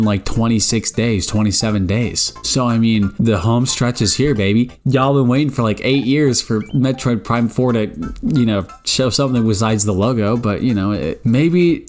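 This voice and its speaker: A man's voice: medium pitch, moderate pace, faint music behind. A nineteen-year-old man giving casual gaming news commentary, rambling with lots of stammered restarts and joking asides. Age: around 19. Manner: animated, joking, exclaims "bro" and "baby".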